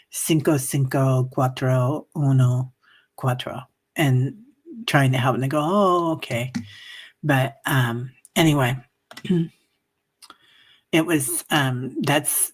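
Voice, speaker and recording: A woman's voice: rumbling low, husky. A middle-aged woman hosting a livestream, chatting casually and reading viewers' comments aloud, with lots of fillers. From United States. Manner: rambling, reads chat comments aloud, many fillers. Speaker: livestream host. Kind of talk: casual chat with live chat.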